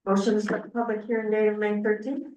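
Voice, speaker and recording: A woman's voice: medium pitch, level tone, fast talker, darkish tone. A woman chairing a council meeting in formal procedural style, recorded in a meeting room. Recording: echoey room, distant from the mic.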